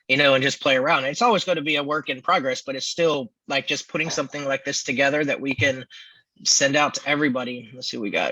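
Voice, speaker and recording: Bright-voiced man with casual fillers. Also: medium pitch, no room sound, rough recording. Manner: casual, lots of ums and fillers. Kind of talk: team meeting talk.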